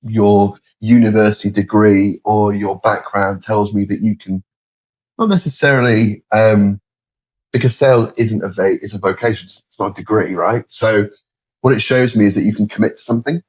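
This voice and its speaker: A middle-aged man with a British accent explaining his views in a measured, conversational way as an interview guest. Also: on the low side, steady tone, slightly rough, low-quality recording. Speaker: interview guest, sales leader.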